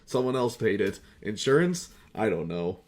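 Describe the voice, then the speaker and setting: A man's voice: lowish voice, big pitch swings, normal speed. A young man talking casually in a rambling retrospective vlog, swearing freely and often putting himself down. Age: young adult. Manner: foul-mouthed, self-deprecating. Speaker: video creator.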